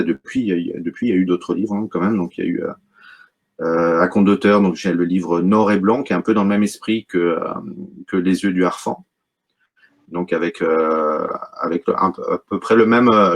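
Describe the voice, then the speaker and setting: A middle-aged man from Brittany with a very low voice speaks as an interview guest. He talks about his work haltingly, with frequent fillers and restarts. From Brittany, France. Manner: hesitant, many fillers and restarts. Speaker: wildlife photographer, guest. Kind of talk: casual interview talk.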